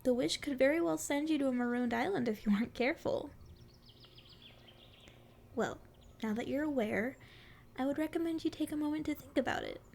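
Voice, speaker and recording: Casting: female performer as a sweet, playful forest fairy for ASMR roleplay, very high voice with a creaky edge, teasing and curious. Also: brisk, long pauses, a little mellow. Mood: gently cautioning. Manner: sweet, flirty, teasing endearments. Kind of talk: ASMR roleplay, addressing the listener.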